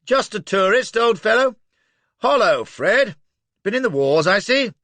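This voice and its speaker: A man's voice: medium pitch, huge pitch swings, moderate pace, no echo, heavily acted. A man voicing a brash English officer who talks in a breezy, cheeky way, with an English accent. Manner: breezy, shouting.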